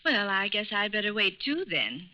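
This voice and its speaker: Shrewd, bright-voiced radio crime woman. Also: medium pitch, big pitch swings, normal speed. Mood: concerned. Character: shrewd, cool-headed female con artist, sometimes feigning worried mother. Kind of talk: 1940s radio crime drama acting. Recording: muffled old radio broadcast recording.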